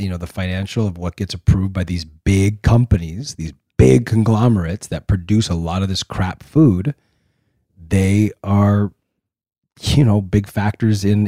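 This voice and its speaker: A man from California co-hosts a casual podcast in a low, mellow voice. He talks in a laid-back way and teases his co-host. The sound is close and dry. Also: no room sound. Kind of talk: casual chat.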